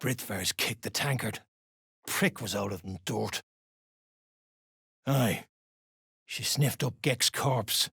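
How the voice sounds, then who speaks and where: Very husky, gruff dwarf mercenary. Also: male voice, on the low side, slow, long pauses, sharp tone, dry recording, studio quality, heavily acted. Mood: gruff, surly. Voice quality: rough, husky. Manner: coarse, surly, slangy. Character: gruff, foul-mouthed, mercenary duergar dwarf fighter. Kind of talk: video game character lines.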